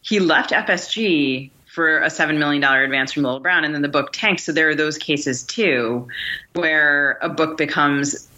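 Female host, conversational discussion, low register with a sharp edge, slightly dull recording. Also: normal speed. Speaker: podcast host. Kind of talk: measured literary discussion.

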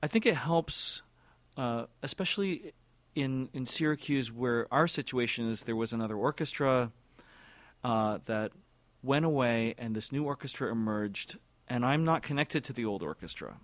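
A man in his forties, an orchestra music director, answering interview questions in a thoughtful, halting way with many repeated words and fillers. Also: medium pitch, animated, a bit bright, no room sound.

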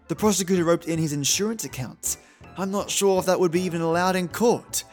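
A man with a fairly high voice speaks over soft music. He hosts a podcast in a conversational style, chummy and affectionate toward his listeners. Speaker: podcast host.

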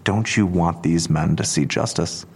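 A man playing a patient, persistent police detective in an audio drama, measured and earnest, with a gravelly voice. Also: low-pitched, heavily acted. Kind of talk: full-cast audio drama acting.

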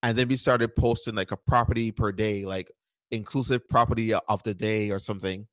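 A startup founder from Barbados in his thirties, answering interview questions in a relaxed way, repeating words and using lots of fillers. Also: lowish voice, a little fast, no echo. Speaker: startup founder and podcast guest.

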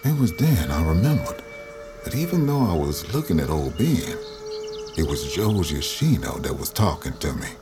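A folksy older storyteller looking back on his life in an audio drama. His deep voice rises and falls expressively, vivid and reflective, over music. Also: very animated, moderate pace. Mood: reflective wonder. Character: older man recounting his supernatural past, folksy storyteller. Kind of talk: first-person audio drama narration.

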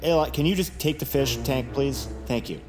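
A man's voice: medium pitch, moderate pace, over music, lightly acted. An actor playing a flustered, incredulous man leading an escape in an audio drama, stammering and repeating himself in exasperation. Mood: exasperated, incredulous. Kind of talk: immersive audio drama acting.

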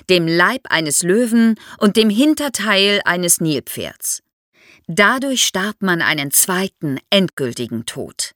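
Female expert guest for an explainer podcast, lively intonation, vivid storytelling manner, very dry studio sound. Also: on the low side, dry recording. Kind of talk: explanatory podcast interview for young listeners.

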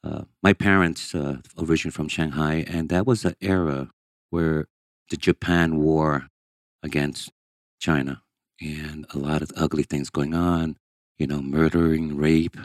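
Very deep-voiced man telling his story. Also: fairly even intonation, dry recording, studio quality. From born in Hong Kong, raised in Brazil. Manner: earnest, emphatic, halting restarts. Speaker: guest angler. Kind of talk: candid interview storytelling.

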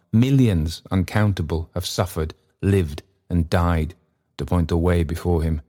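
A man voicing a deep, stern goblin of the bell. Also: dark tone. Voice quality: low and deep. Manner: solemn, slow, accusing oratory. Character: stern goblin spirit of a great church bell. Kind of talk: dramatised storybook reading.

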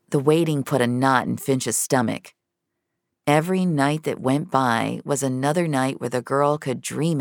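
A woman's voice: low voice, no echo, studio quality. A woman narrating a scripted fiction story as its storyteller.

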